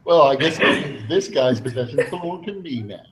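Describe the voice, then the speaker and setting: A man's voice: on the high side, lively intonation, moderate pace, natural voice, poor audio. A man speaking as a player character, a greedy adventurer woman, with gleeful laughter.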